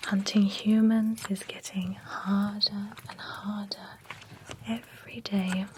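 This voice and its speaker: A woman whispering as a chatty female vampire who grooms a werewolf at a spa, soft and reassuring. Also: medium pitch, little pitch movement, slow, slight background noise, natural voice. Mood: whispery, reassuring. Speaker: ASMR roleplay performer. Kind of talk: whispered ASMR roleplay.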